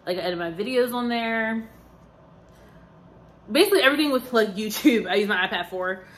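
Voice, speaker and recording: A woman's voice: on the high side, lively intonation, fairly quick, a bit bright. A young woman, tired, chatting casually to her vlog audience with lots of fillers and rambling asides. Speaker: vlogger. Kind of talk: casual chat to camera.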